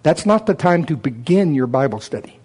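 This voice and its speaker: A middle-aged man giving a folksy, self-deprecating testimony as a preacher, telling personal stories in an animated way. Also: on the high side, fairly quick, slightly rough. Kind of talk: sermon, personal storytelling.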